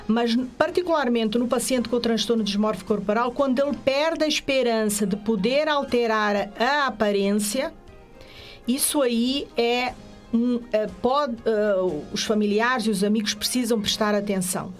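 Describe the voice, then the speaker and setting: A woman with a Portuguese accent speaks as a psychologist on a radio talk show, her voice sitting a little high. She explains carefully, pausing on small fillers as she builds each point. Soft music plays faintly behind her. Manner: measured, explanatory, with hesitant fillers. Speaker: guest psychologist. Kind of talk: radio interview.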